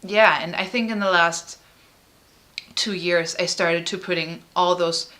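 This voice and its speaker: Bright-voiced woman, halting and emotional. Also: lowish voice, fairly even intonation. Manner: halting and emotional, frequent fillers and restarts. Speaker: artist and musician. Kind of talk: personal interview.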